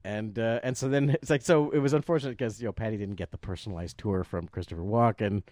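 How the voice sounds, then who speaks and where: A man telling a casual anecdote about his work as a puppeteer, animated and acting out the voices of people he quotes. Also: fairly low pitch, quick pace, no echo. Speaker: guest recounting work as a puppeteer.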